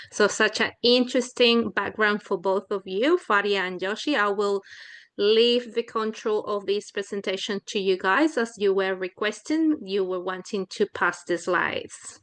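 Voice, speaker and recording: A woman acting as session facilitator on a video call, reading out warm introductions of the speakers. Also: medium pitch.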